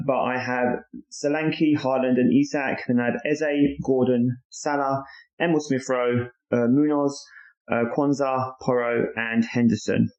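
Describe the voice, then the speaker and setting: A man's voice: mid-range voice, darkish tone. A man co-hosting a podcast, chatting casually and confidently with fillers. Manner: confident, lists his picks with many fillers. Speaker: podcast co-host. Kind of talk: casual chat. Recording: lo-fi echoey room.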